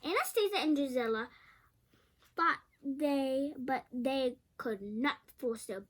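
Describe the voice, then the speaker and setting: A woman's voice: sits high, slow. A woman reading a fairy tale aloud expressively, with chatty asides and stumbles over words. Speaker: storybook reader.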